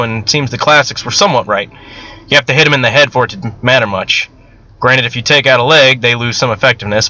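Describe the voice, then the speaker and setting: A man reads as a pragmatic army sergeant in his thirties in an audiobook story. He speaks bluntly and matter-of-factly, with a forceful, bright voice of middling pitch. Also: natural voice, low-quality recording. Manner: matter-of-fact, plain-spoken military talk.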